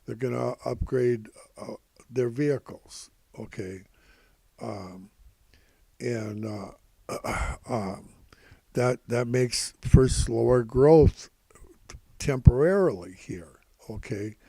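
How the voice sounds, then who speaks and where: An older man giving informal, rambling financial commentary in a halting, repetitive, folksy way, calling himself Grandpa. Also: mid-range voice, creaky, mellow, slightly rough, no room sound. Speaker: host of stock prediction videos.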